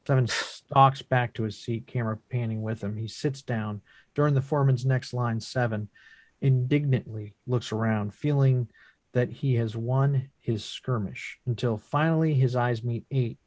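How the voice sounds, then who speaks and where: A man's voice: medium pitch, moderate pace, a little mellow, no echo. A man reading out stage directions as the narrator of a scripted drama table read.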